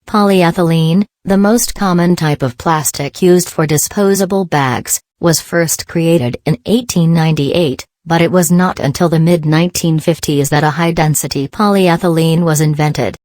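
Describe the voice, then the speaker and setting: A woman's voice: low-pitched, moderate pace, few pauses, darkish tone, no echo, studio quality. A woman reading practice passages aloud in a scripted style for exam preparation.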